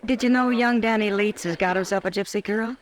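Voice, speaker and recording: An actress playing a teasing, gossipy frontier saloon woman in a radio western, playful and expressive. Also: medium pitch, fairly quick, close to an everyday voice. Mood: chatty. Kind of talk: 1950s radio western acting.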